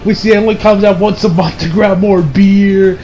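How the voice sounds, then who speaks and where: A young adult man giving a casual, rambling movie review with excitable delivery and frequent swearing. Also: high-pitched, normal speed, faint music behind. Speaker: video reviewer. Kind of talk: casual movie review chat over gameplay.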